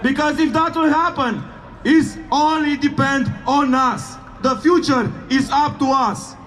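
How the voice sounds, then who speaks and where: A man giving a shouted rally speech at an outdoor street protest, in halting English with slips of grammar. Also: very high-pitched. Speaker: protest speaker. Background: faint crowd.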